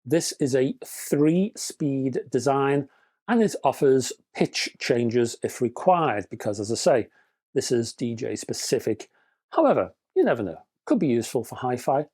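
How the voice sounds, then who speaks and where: A man's voice: medium pitch, big pitch swings, a little slow, a little mellow, slightly rough. Wry, chatty man hosting hi-fi news. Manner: dry, wry humor between spec readouts; chatty, addresses viewers as folks. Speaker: hi-fi news channel host. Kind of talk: scripted news roundup with asides.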